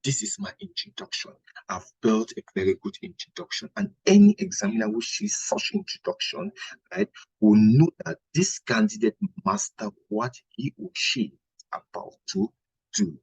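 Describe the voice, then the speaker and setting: A man teaching an exam-prep lesson in a deliberate, didactic way, posing questions and answering them himself, with a husky, gravelly voice. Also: high-pitched, big pitch swings, no room sound, poor audio. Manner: didactic, deliberate, checks with "right?" and rhetorical questions. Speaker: history teacher preparing exam candidates. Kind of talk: instructional exam-prep lecture.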